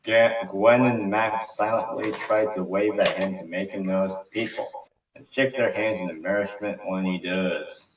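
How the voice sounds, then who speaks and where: A man's voice: fairly low pitch, fairly even intonation, normal speed. A man reading scripted narration as the narrator of an amateur table read. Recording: roomy, distant mic with faint noise.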